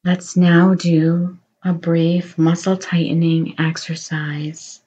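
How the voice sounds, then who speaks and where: A woman guiding a meditation in a slow, soothing voice, offering gentle reassurance. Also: on the low side, flat delivery, dull audio. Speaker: meditation guide. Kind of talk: guided meditation.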